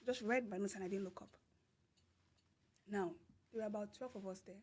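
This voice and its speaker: A woman's voice: medium pitch, fairly quick, a little mellow, breathy edge. A woman with a Nigerian accent, a podcast guest telling a personal story with emphatic repetition and laughter. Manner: emphatic, repeats phrases, laughs through story. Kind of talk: storytelling in casual interview.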